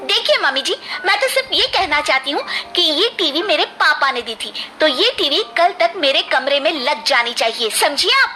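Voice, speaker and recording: A woman's voice: high voice, sing-song, moderate pace, few pauses, sharp tone, a little hiss or hum, exaggerated voice. A woman voicing a curt, selfish, spoiled young daughter-in-law in a cartoon drama, snappy and dismissive. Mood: scornful, demanding. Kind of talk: cartoon family drama acting.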